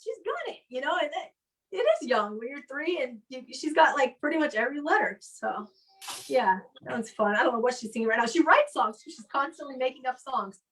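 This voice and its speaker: A woman's voice: high voice, animated, a little fast, a bit bright, slightly rough, poor audio. A woman hosting a casual online comedy chat from home, rambling along and acting out what people said. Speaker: host of an online comedy show. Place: home, video call.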